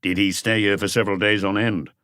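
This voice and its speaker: Man playing a deep, terse police chief inspector. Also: steady tone, quick pace, fairly bright tone, clean tone, dry recording, clean studio sound, natural voice. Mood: probing, businesslike. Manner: terse, probing questions.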